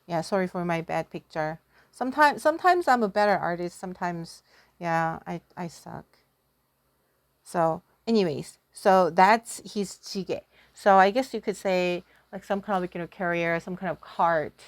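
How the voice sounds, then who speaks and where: A woman's voice: lowish voice, moderate pace, dry recording. A woman giving a casual lesson through storytelling, chatty and self-deprecating, often thinking aloud as a teacher. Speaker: Korean language teacher.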